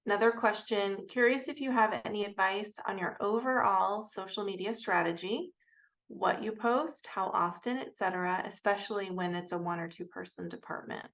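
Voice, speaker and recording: Woman, gravelly fry, Q&A moderator, relaying questions, dull lo-fi sound. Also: mid-range voice, normal speed, a bit bright. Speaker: session moderator. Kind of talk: moderating audience Q&A, reading out questions.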